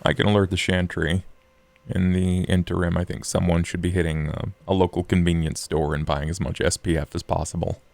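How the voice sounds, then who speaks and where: A man voicing a grim, shrewd vampire strategist in a tabletop roleplay, with a gravelly voice. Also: low-pitched, level tone, brisk, a little mellow, no room sound. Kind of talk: actual play roleplay dialogue.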